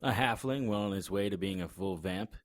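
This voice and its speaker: A man's voice: lowish voice, brisk, no room sound, close to an everyday voice. Man playing a dry-witted vampire hunter. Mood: wry. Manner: dry, sardonic, matter-of-fact. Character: wisecracking middle-aged male monster hunter. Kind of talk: audio drama acting.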